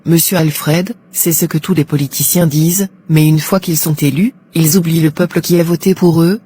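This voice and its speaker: A woman's voice: sits low, steady tone, normal speed, mellow, breathy edge. An actress playing a polite, probing female talk show presenter in a cartoon, formal and composed. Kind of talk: scripted broadcast interview in a cartoon.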